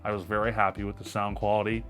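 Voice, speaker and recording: A man's voice: fairly low pitch, level tone, quick pace, a bit bright, soft background music. Man with a powerful voice reviewing gear. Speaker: tech reviewer. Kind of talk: product review to camera.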